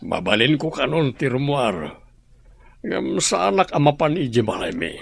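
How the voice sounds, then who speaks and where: A man's voice: mid-range voice, very animated, moderate pace, vocal fry, slightly rough, dry recording, heavily acted. An actor playing a bitter man recovering in hospital, speaking in a grim, resentful way in a radio drama.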